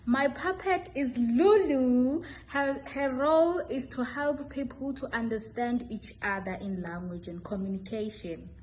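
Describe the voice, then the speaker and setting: A woman's voice: high-pitched, measured pace, few pauses, darkish tone, a little hiss or hum, poor audio. A young adult woman giving scripted narration for a children's puppet show.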